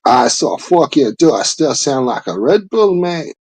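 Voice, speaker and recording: A man's voice: fairly high pitch, sing-song, measured pace, darkish tone, breathy edge. A man, rambling and swearing through a laid-back casual chat.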